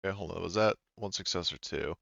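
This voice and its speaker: A man's voice: sits low, quick pace, gravelly, highs cut off, very noisy. A man running a tabletop roleplaying game as game master, talking in a rambling way with many fillers and restarts.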